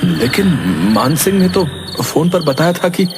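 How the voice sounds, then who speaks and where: A man's voice: high voice, expressive, normal speed, background noise. A man voicing a solemn, grieving village man in a dramatic horror cartoon. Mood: shaken. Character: grieving younger brother, vengeful village man. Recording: loud music behind.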